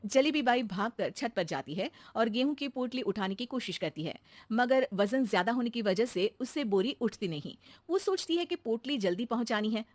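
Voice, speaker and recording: A woman telling a children's cartoon story with a lively, animated lilt. Her voice is a bit high and recorded dry and close. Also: moderate pace, no room sound. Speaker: narrator. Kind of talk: bedtime story narration.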